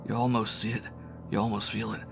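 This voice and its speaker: A man's voice: fairly low pitch, level tone, fairly quick, creaky, over music. A man voicing a delirious, terrified young man who sobs and cries out wildly. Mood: raving. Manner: sobbing, crying out wildly.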